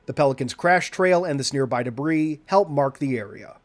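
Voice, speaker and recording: A man reading a scripted video game walkthrough in a measured, orderly, instructional way. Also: mid-range voice, animated. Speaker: video game guide narrator. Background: background music.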